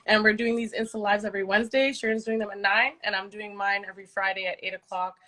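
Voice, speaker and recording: A woman's voice: mid-range voice, little pitch movement, fast talker, bright, forceful tone. A woman radio host talking casually on a live stream, informative and listing details.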